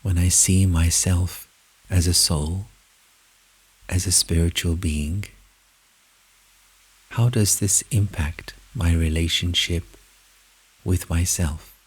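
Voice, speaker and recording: A man with a husky, breathy voice narrates a guided meditation in measured, deliberate short phrases with long pauses. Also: deep voice, steady tone, low-quality recording.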